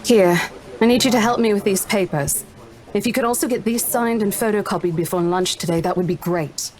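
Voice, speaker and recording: A woman voicing a brisk, chatty female real estate colleague in a visual novel game. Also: mid-range voice, darkish tone, soft background music, close to an everyday voice.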